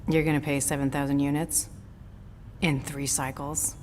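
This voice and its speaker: A woman's voice: low voice, little pitch movement, moderate pace, a little mellow, slight background noise, close to an everyday voice. Woman playing stern ship commander in reverberant hall. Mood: guarded, firm. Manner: firm, clipped, commanding. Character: stern, authoritative female ship commander and exasperated mother. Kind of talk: cinematic video game acting. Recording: long hall reverb, music behind.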